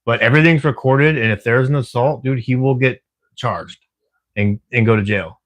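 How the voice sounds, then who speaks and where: A man's voice: mid-range voice, normal speed, a bit bright. A man casually chatting on a livestream, reading viewer comments in a friendly, rambling way with plenty of fillers. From Texas, USA. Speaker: livestreamer working a camera security shift.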